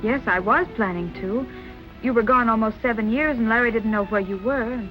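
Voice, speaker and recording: An actress playing a polite, unsettled fiancee in a vintage film noir, speaking a little hesitantly. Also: female voice, on the high side, moderate pace, dull audio, soft background music, close to an everyday voice. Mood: polite, shaken. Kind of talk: 1940s film noir acting.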